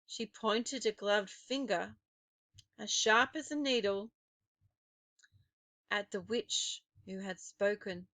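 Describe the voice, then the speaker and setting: A woman narrating a children's novel aloud in a deliberate, expressive, dramatic storytelling style. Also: mid-range voice, no echo. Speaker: storybook reader.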